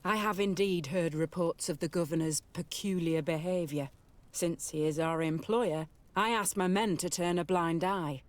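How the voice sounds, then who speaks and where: A woman's voice: on the low side, moderate pace, no room sound, natural voice. Woman as loyal army commander in a game, formal n respectful, dry close sound. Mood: dutiful concern. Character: dutiful, honourable military commander, formal and deferential. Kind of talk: dramatic video game dialogue.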